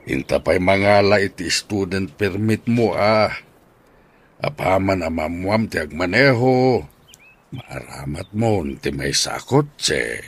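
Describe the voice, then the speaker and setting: A very low-voiced old grandfather, played by a man in a radio drama. He is stern yet generous, with husky tones and lively swings in pitch. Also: takes it slowly, full character voice. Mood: matter-of-fact.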